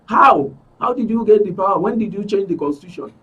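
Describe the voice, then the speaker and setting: A man with a Nigerian accent and a husky voice giving an emphatic account as an interview guest, repeating phrases for stress. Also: high-pitched, animated, fast talker, sharp tone, distant mic, dull audio. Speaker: interview guest, club member. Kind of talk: spoken account in a broadcast interview.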